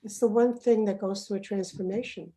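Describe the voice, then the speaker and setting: A woman's voice: mid-range voice, fairly even intonation, fairly quick, warm tone. A middle-aged woman from Uganda hosting an online panel, chatty and often trailing off mid-sentence. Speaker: panel host. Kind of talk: casual online panel talk. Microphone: video call.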